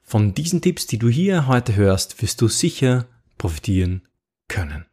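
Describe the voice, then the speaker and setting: A man's voice: medium pitch, very animated, a little slow, a little mellow, breathy edge. A middle-aged man with an Austrian accent hosting a podcast in a casual chatty way, full of fillers, restarts and rhetorical "ja?". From Upper Austria. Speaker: podcast host.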